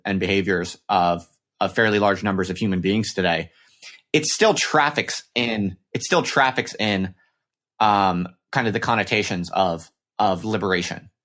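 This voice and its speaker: Low-voiced, deliberate man. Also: fairly bright tone. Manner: deliberate, hesitant, repeats phrases. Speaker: podcast host, interviewer. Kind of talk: intellectual discussion, unscripted.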